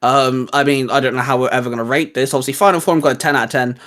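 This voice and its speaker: A man's voice: medium pitch, steady tone, rapid-fire, a bit bright. A man co-hosting a casual geek chat, talking in a hesitant, rambling, informal way with bits of slang. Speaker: co-host of a geek culture show. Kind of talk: casual chat, reviewing video game designs.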